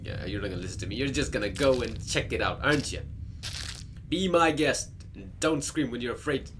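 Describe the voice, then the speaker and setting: A man's voice: medium pitch, animated, brisk, fairly bright tone, a little hiss or hum. A man hosting a gaming video with casual, reactive commentary, talking straight at the game's character. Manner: reacts aloud, addressing the game character directly.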